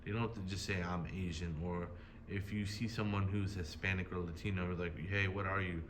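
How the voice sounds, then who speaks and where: A man commenting casually on a video he is reacting to, talking in a hesitant, halting way with many fillers and restarts. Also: low voice, steady tone, noisy background. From United States.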